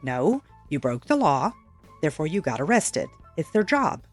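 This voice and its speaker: A woman giving opinion commentary in a measured, matter-of-fact way, correcting point by point. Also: low-pitched, big pitch swings, faint music behind. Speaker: reaction commentator. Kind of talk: opinion commentary over played clips.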